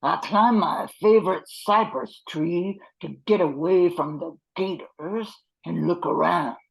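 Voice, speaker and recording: An older amateur performer plays a watchful swamp creature from a radio play. The voice is husky and full of gravel. It talks slowly, in a plain rustic way. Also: mid-range voice, low-quality recording. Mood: grave recollection.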